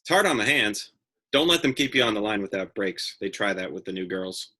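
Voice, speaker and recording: A man's voice: on the low side, expressive, fairly quick, pushed and bright, breathy edge, exaggerated voice. An actor in a play reading, playing an easygoing young factory man with a folksy, plain-spoken manner. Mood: helpful, easygoing.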